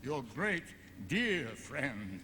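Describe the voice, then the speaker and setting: A man's voice: mid-range voice, sing-song, drawn-out words, pushed and bright, slight background noise, exaggerated voice. An actor playing a vengeful Venetian nobleman who narrates a gothic audio drama, theatrical and brooding, seething beneath sly false courtesy. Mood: falsely courteous. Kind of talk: melodramatic gothic radio drama narration and dialogue. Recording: music under the voice.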